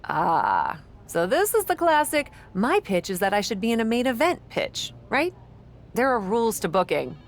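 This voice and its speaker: A woman's voice: fairly high pitch, huge pitch swings, moderate pace. An actress playing a brash, vain young woman who manages wrestling shows, with a smug, sardonic, theatrical delivery in a video game cutscene. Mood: sardonic, firm.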